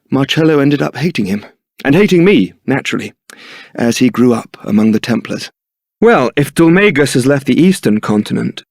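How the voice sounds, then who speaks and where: An actor playing a young templar knight in a video game, suave, wry and brooding, with a theatrical, articulate delivery. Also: male voice, mid-range voice, no room sound, studio quality. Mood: wry, bitter, then casual, roguish. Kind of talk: video game voice acting, dramatic scripted dialogue.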